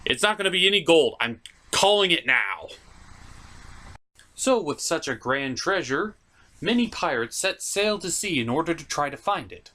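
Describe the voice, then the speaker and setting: A man hosting a review show, delivering scripted comedic narration in a theatrical way with dramatic pauses. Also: on the high side, sing-song, pushed and bright. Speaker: host of a cartoon and anime review show.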